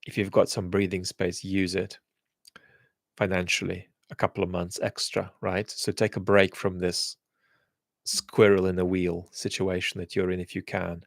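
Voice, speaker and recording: A man answering viewer questions in a casual chat, speaking in a thoughtful, measured way with frequent restarts. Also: low voice, level tone, creaky, dry recording, clean studio sound. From England.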